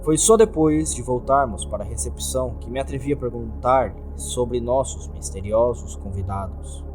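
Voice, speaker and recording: A man's voice: medium pitch, normal speed. A man with a Brazilian accent reads a scripted horror story as its first-person narrator. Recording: music behind the voice.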